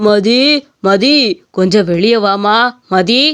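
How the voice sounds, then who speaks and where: A man's voice: high voice, slow pace, a bit bright, clean tone. A man playing an authoritative, moralising village headman in a cartoon story. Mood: coaxing. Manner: lecturing, emphatic.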